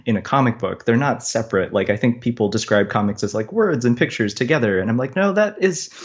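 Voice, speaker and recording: A man's voice: medium pitch, lively intonation, fast, highs cut off. A man talking as a guest cartoonist in a casual, thoughtful interview, explaining things in an articulate, chatty way. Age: adult.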